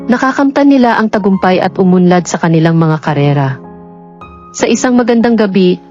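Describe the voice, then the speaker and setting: A woman narrating a scripted romance story in a measured, sentimental storybook delivery. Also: fairly low pitch, a little mellow. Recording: dull lo-fi audio with background music. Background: music.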